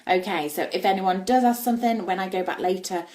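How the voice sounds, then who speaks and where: A woman's voice: mid-range voice, a little fast, a little mellow. A woman with a northern English accent, chatting informally and explaining things as she hosts a casual live baby play demo at home. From northern England, Yorkshire. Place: indoors at a family house.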